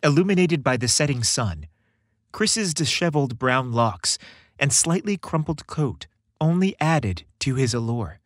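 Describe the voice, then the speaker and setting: Man, podcast host, lively intonation, scripted story narration, playful and theatrical, very dry recording. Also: mid-range voice, a little slow, no echo.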